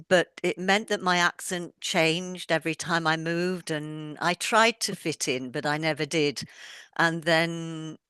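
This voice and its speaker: Smooth, dry-voiced British woman author. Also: sits low, a bit bright, no echo. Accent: mixed British, with a little Welsh and Yorkshire. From born in England, raised moving around the world. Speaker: interviewed author. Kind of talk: casual conversation.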